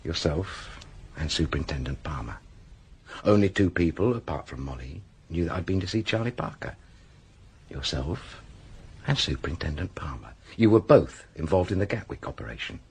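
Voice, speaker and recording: An actor playing an astute, world-weary police detective in a radio crime drama, with a husky voice and a deliberate way of explaining. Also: male voice, rumbling low, sing-song, a little mellow, exaggerated voice. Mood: wry, accusing.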